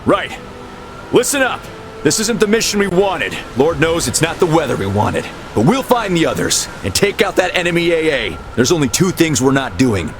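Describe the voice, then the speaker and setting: An actor playing a bold, decisive military captain in a game cutscene, with a brisk, confident, commanding delivery. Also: male voice, a little high, big pitch swings, background noise. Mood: steady, businesslike, then rousing. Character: bold, decisive young male army captain rallying his men. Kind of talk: video game cutscene acting.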